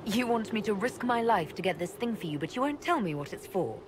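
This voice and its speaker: An actress playing a steady, businesslike leader of adventurers in a video game, direct and plain-spoken. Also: female voice, mid-range voice, fairly quick, background noise, lightly acted. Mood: firm, questioning. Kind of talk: video game dialogue acting.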